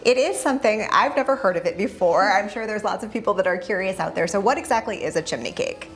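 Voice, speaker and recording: A woman's voice: mid-range voice, quick pace. A woman hosting a local TV feature, asking guests friendly, upbeat questions. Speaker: show host and interviewer.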